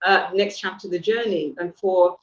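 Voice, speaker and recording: A woman's voice: on the low side, normal speed, reverberant, muffled recording. A woman hosting a casual chat, speaking in a thoughtful, reflective way with fillers and restarts. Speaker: host.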